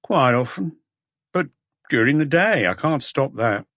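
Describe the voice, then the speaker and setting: Guy doing a tired grumpy hotel owner, curt answers, raised pitch, dry audiobook sound. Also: animated, measured pace, a bit bright, dry recording. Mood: gruff, weary. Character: unshaven, tired, gruff male hotel owner.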